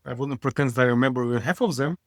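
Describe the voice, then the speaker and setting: Male CEO guest from Israel, frank and wry, mid-pitch, dry close sound. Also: no echo. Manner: frank, wry, halting non-native phrasing. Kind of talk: casual interview talk.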